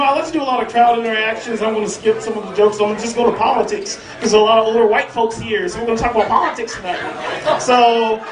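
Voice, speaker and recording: A man doing a brash, profane stand-up set on a club stage, teasing the crowd. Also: sits high. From southern United States. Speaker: stand-up comedian. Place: comedy club stage before a live crowd. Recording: roomy live club audio from a distance with crowd.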